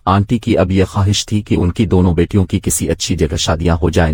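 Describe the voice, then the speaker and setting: A man's voice: lowish voice, darkish tone, breathy edge, no echo, studio quality. A man reading a scripted moral story as its narrator.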